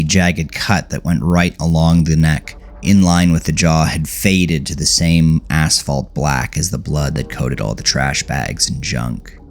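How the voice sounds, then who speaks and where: A man narrating a scripted horror story with a measured, deliberate delivery. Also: sits low. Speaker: audiobook narrator.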